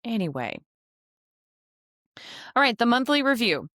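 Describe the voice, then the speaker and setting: A woman's voice: on the high side, sing-song, measured pace, fairly bright tone, no room sound. A woman hosting a casual solo podcast, chatty and joking with frequent laughs, speaking close to the mic. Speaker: podcast host and travel agency owner.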